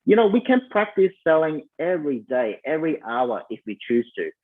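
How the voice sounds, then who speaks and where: A man's voice: on the high side, big pitch swings, fairly quick, phone-quality sound. A man with a Malaysian accent giving a conversational sales coaching talk at an online summit, full of fillers and talking straight to his listeners. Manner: conversational, many fillers, addresses listeners as "you guys".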